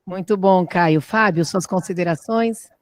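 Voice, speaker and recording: A woman moderating a webinar panel, briskly passing the floor to each speaker. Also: fairly low pitch.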